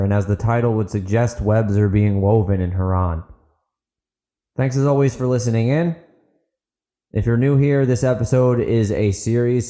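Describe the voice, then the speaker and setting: A man's voice: fairly low pitch, mellow. A man narrating and hosting an audiobook fiction podcast. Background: guitar music.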